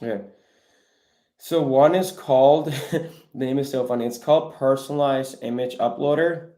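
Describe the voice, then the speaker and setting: A man's voice: mid-range voice, normal speed, mellow. A man hosting a livestream, answering viewer questions in a casual, coaching style and chatting informally with his audience. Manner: informal, addresses viewers as bro and guys. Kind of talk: casual live Q&A, coaching online sellers.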